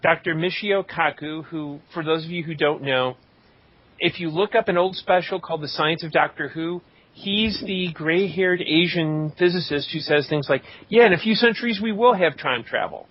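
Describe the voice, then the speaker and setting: A man hosting a podcast in a casual, tongue-in-cheek way as he reads out listener shout-outs. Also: on the high side, normal speed. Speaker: podcast host. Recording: dull lo-fi voice recording.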